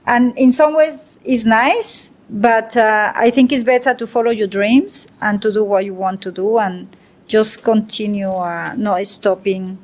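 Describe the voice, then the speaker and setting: A woman's voice: medium pitch, a little slow. A woman, a guest artist talking reflectively in a casual interview, with many hesitant fillers. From Mexico. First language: Spanish.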